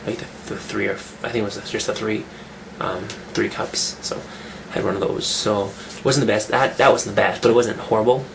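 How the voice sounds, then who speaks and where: Man, rough voice from a cold, rambling chat, lowish voice, noisy recording. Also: fairly even intonation, fairly quick, hardly any pauses. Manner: rambling, chatty, restarts sentences. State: getting over a cold, rough voice. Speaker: fitness vlogger. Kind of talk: casual weekly update vlog.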